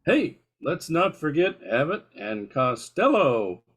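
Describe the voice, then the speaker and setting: An older man presents a radio nostalgia show from a chatty script. His voice is pitched high for a man. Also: sing-song, slow pace, a bit bright, slightly rough. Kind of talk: scripted narration.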